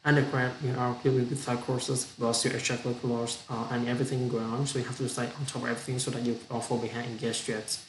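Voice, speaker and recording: Halting young man, Vietnamese accent. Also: mid-range voice, level tone, echoey room, a little hiss or hum, poor audio. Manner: halting, with frequent restarts and repeated words. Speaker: student practicing spoken English. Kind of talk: unscripted speaking-practice monologue.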